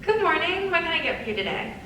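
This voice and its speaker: A woman's voice: big pitch swings, fast, sharp tone, heavily acted. A woman acting a friendly, apologetic cafe barista with a chirpy service manner in a dramatic reading. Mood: cheerful. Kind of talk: dramatic script table reading. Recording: echoey hall, far from mic, rumble.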